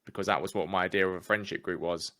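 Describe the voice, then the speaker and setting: A man's voice: sits low, fairly even intonation, quick pace, pushed and bright, no room sound, clean studio sound. A young English man with an English accent, speaking candidly as an interview guest in a casual, chatty way. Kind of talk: casual conversation.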